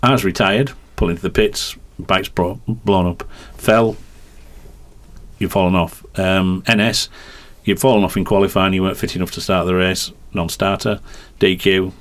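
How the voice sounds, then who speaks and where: A man's voice: low-pitched, fairly even intonation, normal speed. A middle-aged man with a light Yorkshire twang, a racing commentator explaining at length in a rambling, hesitant way. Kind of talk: podcast conversation.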